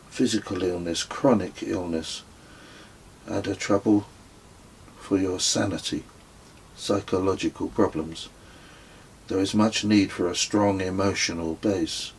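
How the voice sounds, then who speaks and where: A man voicing an earnest, tender male astrologer in a storybook, speaking gravely and deliberately. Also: deep voice, slight background noise.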